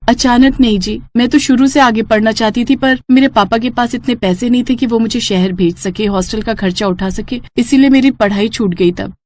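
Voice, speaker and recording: A woman voicing an earnest, determined young wife who speaks softly and respectfully in a family story. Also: on the high side, normal speed, few pauses, natural voice.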